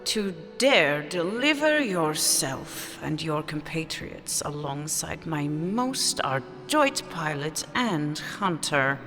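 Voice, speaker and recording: Slow, regal pirate queen, acted by a woman. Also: sits low, sing-song, full character voice. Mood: stately. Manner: slow, grandiose, formal speech. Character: regal, imperious, amused pirate queen with scars and scales. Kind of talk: fantasy audio drama acting. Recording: long reverb hall, loud music behind. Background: music.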